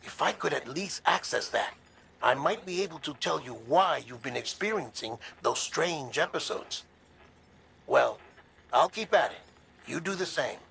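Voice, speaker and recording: An actor playing an earnest, helpful researcher in a video game, polite and measured as he reports. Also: fairly high pitch, expressive, vocal fry, fairly bright tone, exaggerated voice. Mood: apologetic, earnest. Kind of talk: video game radio-call dialogue. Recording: noticeable ambient noise behind.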